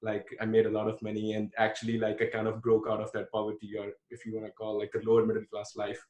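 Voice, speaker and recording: A man in his thirties with an Indian accent shares his story in a low, level voice. He talks earnestly and reflectively, pausing on fillers and restarting his sentences. The room around him has a noticeable echo. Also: completely flat delivery, a little mellow. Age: 30s. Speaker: podcast guest. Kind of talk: casual interview storytelling.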